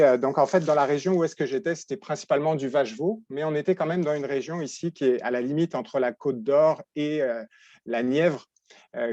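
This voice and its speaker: French-accented man in his forties, webinar lecturer, halting delivery, lo-fi dry call audio. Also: medium pitch, warm tone, dry recording. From France. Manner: halting, many restarts and repeated words. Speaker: veterinary professor presenting. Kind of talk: webinar presentation. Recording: dull lo-fi dry sound.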